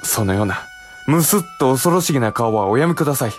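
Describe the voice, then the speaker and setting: An actor playing a young samurai younger brother in a period audio drama, polite yet frankly chiding. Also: male voice, medium pitch, animated, quick pace, faint music behind, natural voice. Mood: lively reproach.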